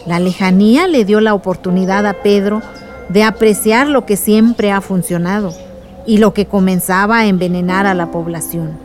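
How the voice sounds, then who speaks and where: A woman playing a warm, reflective village aunt who recounts the past in poetic phrases in a radio drama. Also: mid-range voice, moderate pace, natural voice. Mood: earnest.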